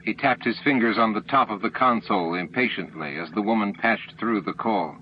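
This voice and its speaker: A man's voice: lowish voice, moderate pace, slight background noise. Male audiobook narrator, dull recording. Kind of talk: dramatic scripted narration. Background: faint music.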